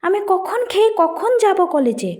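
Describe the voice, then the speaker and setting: A woman's voice: very high-pitched, big pitch swings, moderate pace, darkish tone, pitched above the performer's own voice. A woman voicing a haughty, greedy college-age girl in a cartoon, scolding and complaining.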